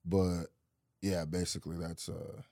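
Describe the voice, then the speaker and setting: Laid-back young man with a low, mellow voice. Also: a little slow, no echo, studio quality. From Nebraska, USA, early childhood in Nigeria. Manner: relaxed, rambling, many likes and restarts. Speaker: guest, rapper and reaction YouTuber. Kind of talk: casual interview chat.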